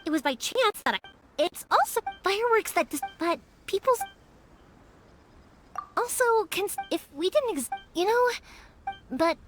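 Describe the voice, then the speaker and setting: An actress voicing a cheerful, earnest young woman who makes fireworks in a video game, expressive and heartfelt, with game music behind her. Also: very high voice, a little slow, long pauses, slight background noise, full character voice.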